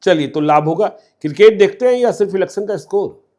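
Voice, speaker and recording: A male interviewer fires off rapid questions in a raised, highly expressive voice. Between answers he keeps up a steady murmur of agreement. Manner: quick prompting, frequent murmured assent.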